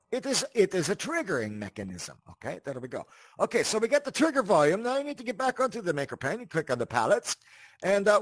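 High-pitched man with very animated delivery. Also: dry recording. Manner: rambling, repeats words, checks in with "right?" and "you see". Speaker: host of a video game tutorial channel. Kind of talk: casual spoken tutorial with asides.

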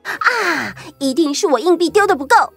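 A woman voicing an eager, excitable, childlike detective's sidekick in a children's audio story. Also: very high voice, normal speed, soft background music, exaggerated voice. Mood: hopeful, puzzled.

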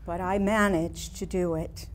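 A woman in her sixties with an American accent performing a staged monologue as a wistful, reflective older painter looking back in measured, nostalgic phrases. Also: lowish voice, fairly even intonation, close to an everyday voice. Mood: wistful reminiscing. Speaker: playwright performing a staged reading. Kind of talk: theatrical monologue reading. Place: small studio room with seated audience.

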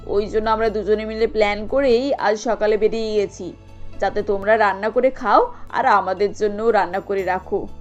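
A woman's voice: medium pitch, muffled recording, close to an everyday voice. A woman voicing a bossy but fond middle-aged mother-in-law in a cartoon, blunt and matronly. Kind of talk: Bengali cartoon family drama acting.

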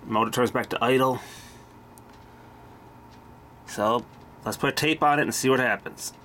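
Man, mid-pitched voice, casual repair commentary, blunt and salty, noisy amateur camera audio, mechanical hum. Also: a little slow, sharp tone. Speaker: hobbyist repairer showing a vintage machine.

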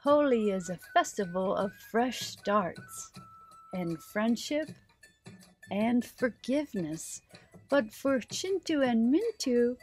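A woman's voice: fairly high pitch, lively intonation, slow pace, darkish tone, soft background music, poor audio. A middle-aged woman hosting a children's story time, reading aloud expressively and putting simple questions to her young listeners.

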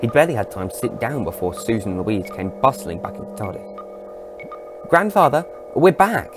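A man with a fairly low voice narrates an adventure story. It is scripted storytelling, with music clearly playing behind him. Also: lively intonation, normal speed.

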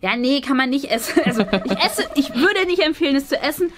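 A woman's voice: high voice, lively intonation, fairly quick, background noise. A woman in her thirties hosting a vlog in casual chat, enthusiastic and gushing about things. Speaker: vlog host.

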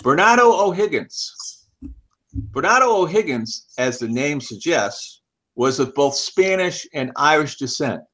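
An older man in his seventies reads an article aloud as scripted narration, in measured, deliberate phrases. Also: on the high side, big pitch swings, bright, forceful tone. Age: older, about 70s. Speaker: reader of an article. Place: small home office, indoors. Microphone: headset.

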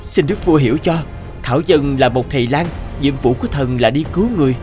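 A man's voice: medium pitch, a little fast, warm tone, close to an everyday voice. A man voicing a kind, principled village healer in a cartoon, speaking politely and earnestly. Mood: humble, earnest. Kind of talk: animated moral tale dubbing. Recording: music behind the dialogue.

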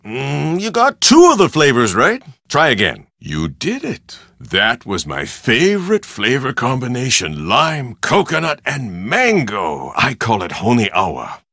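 An actor playing a boisterous Hawaiian tour operator in a video game, with hearty, pushy sales patter in short punchy phrases. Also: male voice, mid-range voice, wildly swooping pitch, a little slow, hardly any pauses, bright, forceful tone, no room sound, clean studio sound, heavily acted. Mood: teasing, bossy. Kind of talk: video game character dialogue.

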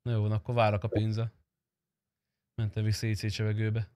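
A man's voice: on the low side, monotone, a little mellow, no room sound. A young man streaming an online roleplay game, negotiating in casual, slangy, insistent talk with some swearing, on a close microphone. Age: young adult. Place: indoor streaming room.

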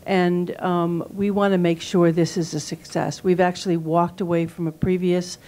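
A woman's voice: fairly low pitch, little pitch movement, moderate pace, dark tone. A woman in the audience explaining her concerns in a slightly hesitant way. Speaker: audience questioner.

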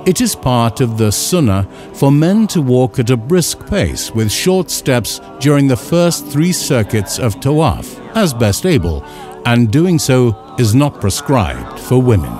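A man reading scripted instructional narration in a measured, formal, carefully enunciated way. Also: medium pitch, sing-song, a little mellow, soft background music. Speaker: narrator of an educational film.

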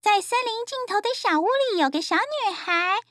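A small talking cartoon cat with a very high, squeaky voice, as a woman plays it. It talks in a quick, matter-of-fact way. The sound is dry. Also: expressive, fairly bright tone, dry recording. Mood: helpful. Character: small helpful pet cat.

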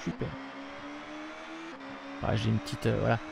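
A man's voice: fairly low pitch, completely flat delivery, measured pace, gravelly. A young man chatting casually on a live stream from his room, rambling with lots of fillers. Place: home room with webcam. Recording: noisy stream with game sound.

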